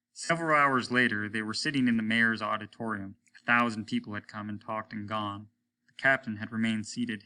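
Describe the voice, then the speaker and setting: A man reading a story aloud as dramatic, expressive narration. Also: lowish voice, slight background noise. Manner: expressive, acts out the dialogue.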